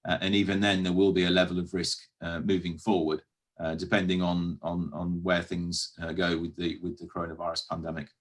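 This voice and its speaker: A British man speaking as a council officer in a formal meeting, explaining matters in a measured, careful way with some hesitant phrasing. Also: low-pitched, little pitch movement. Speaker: council officer presenting to a committee.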